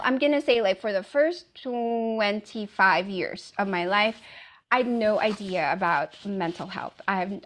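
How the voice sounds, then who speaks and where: A middle-aged woman from the Philippines giving a casual presentation with slides, with lots of "um" fillers. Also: mid-range voice. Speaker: wellness speaker.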